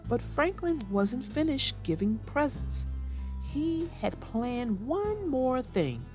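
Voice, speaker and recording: Woman storyteller for kids, unhurried and sing-song, faint music bed. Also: sits high. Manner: expressive, sing-song reading. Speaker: storybook narrator and host. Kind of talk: children's read-aloud narration.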